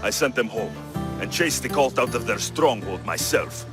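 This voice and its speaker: A man's voice: medium pitch, lively intonation, normal speed, lightly acted. Man acting a resolute mercenary hero, over music. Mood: calm, assured. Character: male mercenary hero, resolute, protective, terse. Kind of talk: dramatic video game acting.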